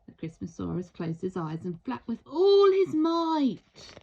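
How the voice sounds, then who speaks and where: A woman reading a children's storybook aloud with theatrical, deliberate, expressive storytelling. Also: a little high, creaky, breathy edge, muffled recording. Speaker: storybook reader.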